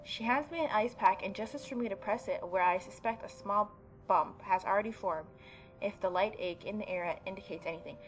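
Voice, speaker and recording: A woman's voice: medium pitch, fairly quick, faint music behind, rough recording. A young adult woman doing a casual let's play, reading game text aloud and commenting informally. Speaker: gaming YouTuber.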